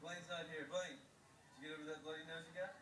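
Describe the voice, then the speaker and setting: A man's voice: on the high side, steady tone, fairly quick, sharp tone, far from the mic. A man coaching a youth baseball team, firm and clipped as he quizzes the kids. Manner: drill-sergeant-like pep talk. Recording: roomy video played back from afar.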